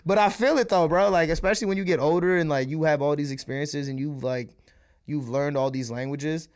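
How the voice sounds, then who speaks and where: A man's voice: fairly high pitch, steady tone, brisk, a little mellow. Laid-back young man, slangy casual chat. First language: Malayalam.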